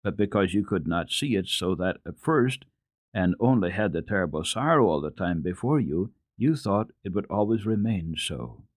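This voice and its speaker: A man's voice: deep voice, lively intonation, normal speed, no echo, studio quality. A man voicing an earnest, devout, trusting little girl in a solemn, simple and assured way, for a storybook reading.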